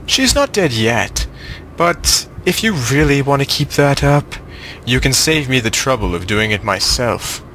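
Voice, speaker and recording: A man's voice: mid-range voice, big pitch swings, a little slow, bright, forceful tone, slight background noise. A man playing an arrogant, mocking vampire villain in a taunting, theatrical manner. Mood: threatening.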